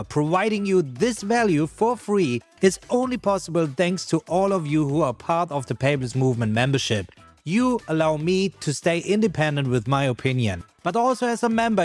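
A man hosting an interview podcast, speaking in an enthusiastic manner. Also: a little high. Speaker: podcast host.

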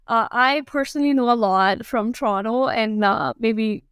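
A woman's voice: on the high side, moderate pace, a bit bright, smooth, no echo, clean studio sound. A woman in her thirties from Pakistan with a Pakistani accent, answering interview questions casually with many fillers and restarts. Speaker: guest, food blogger.

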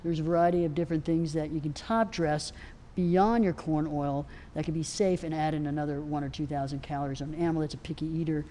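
A soft-spoken woman gives advice at a live panel, her voice pitched a little low and mellow. She rambles, circling back with fillers and restarts. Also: steady tone, fast, a little hiss or hum. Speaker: equine nutrition expert answering questions. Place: outdoor event festival.

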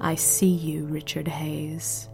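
An actress playing a proud, bitter captive wife in an audio drama, speaking in a deliberate, defiant manner. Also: female voice, low voice, little pitch movement, vocal fry, music in the background, exaggerated voice. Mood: scornful.

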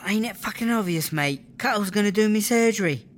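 A woman's voice: mid-range voice, animated, brisk, natural voice. A woman playing a defiant, foul-mouthed tough prisoner and rapper with a British accent in a comedy audio drama, blunt and cheeky. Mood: pained, gruff.

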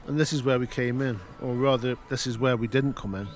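A man's voice: mid-range voice, fairly even intonation, brisk, soft background music, lightly acted. A man playing a wry grown-up narrator looking back on his boyhood in an amateur audio drama. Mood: reminiscing.

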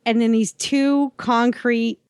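A woman's voice: on the high side, steady tone, slow, a little mellow, no room sound, clean studio sound. A woman with an American accent chatting casually as a podcast co-host. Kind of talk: casual chat.

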